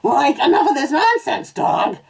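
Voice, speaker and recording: A woman's voice: high voice, big pitch swings, unhurried, vocal fry, voice pushed up, heavily acted. A woman voicing a goofy, easily startled young male cartoon slacker who talks in a hesitant drawl. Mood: indignant, goofy.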